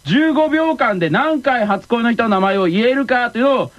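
A man's voice: very high voice, expressive, normal speed. A man hosting a radio game segment in a polite, bantering way, often laughing as he talks. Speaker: radio show host. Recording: dull lo-fi radio recording, noisy.

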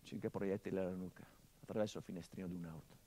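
An actor playing a middle-aged son who mourns his murdered father, in a theatrical monologue that swings from hushed murmurs to impassioned outbursts, sardonic and biting. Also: low-pitched, fairly even intonation, fast talker, slightly rough. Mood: bitter. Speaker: stage actor.

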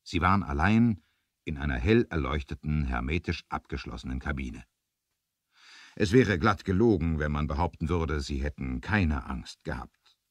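Very deep, animated male storyteller. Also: dry recording. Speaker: narrator. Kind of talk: dramatic scripted storytelling for a 1970s adventure radio play.

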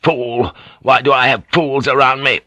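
Man doing a hot-tempered lord, sneering, cutting, pretty loud, dry sound. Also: medium pitch, fairly even intonation, unhurried, no echo, pitched above the performer's own voice. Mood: furious, contemptuous. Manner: scornful and cutting.

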